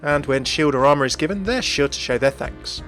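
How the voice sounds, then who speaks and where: A man's voice: fairly high pitch, a little fast, fairly bright tone, faint music behind. A man giving chatty, enthusiastic commentary as host of a gaming video. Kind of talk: scripted video commentary on a game.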